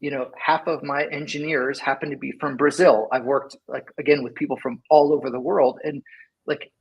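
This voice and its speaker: Husky-voiced man rambling casually. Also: medium pitch, fairly even intonation, normal speed, lo-fi sound. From Sacramento, California. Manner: rambling, lots of "like" and "right?". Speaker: engineering leader, guest. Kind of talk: casual interview talk.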